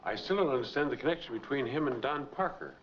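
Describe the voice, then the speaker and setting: Man playing a fast-talking, earnest noir hero. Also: medium pitch, lively intonation, close to an everyday voice. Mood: relieved, curious. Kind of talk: 1940s crime film acting. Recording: dull, noisy 1940s film soundtrack.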